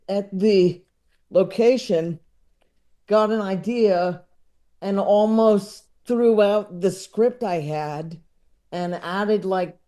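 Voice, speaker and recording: Male podcast guest, unhurried and mellow, rambling and self-deprecating in casual conversation. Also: high voice. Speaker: podcast guest, comedy writer and filmmaker. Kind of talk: casual interview chat.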